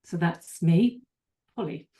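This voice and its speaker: Low-voiced older woman presenting a webinar. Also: slow, mellow. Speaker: divorce coach.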